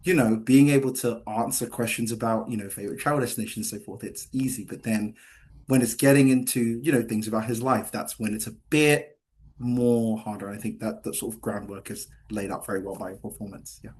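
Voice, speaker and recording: Man giving halting, thoughtful answers. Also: lowish voice, steady tone, a little mellow. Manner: halting, hedging with many repeats. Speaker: screenwriter answering questions. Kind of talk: post-reading Q&A discussion.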